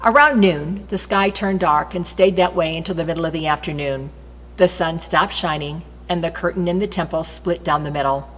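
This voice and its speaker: A woman reading aloud from the Bible as an expressive scripture narrator. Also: fairly low pitch, moderate pace. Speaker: scripture reader. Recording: long reverberant hall echo.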